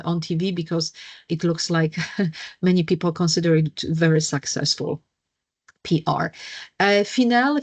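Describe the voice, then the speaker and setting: A middle-aged woman with a Polish accent, a wellness coach presenting a workshop over a video call, with many fillers and self-corrections. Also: lowish voice. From Poland.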